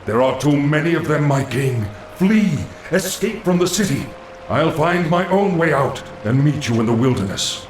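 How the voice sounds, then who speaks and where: A man's voice: on the high side, expressive, moderate pace, slight background noise, lightly acted. An actor playing a loyal undead lich in a fantasy game, solemn and deferential toward his master. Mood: urgent. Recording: echoing voice over faint music.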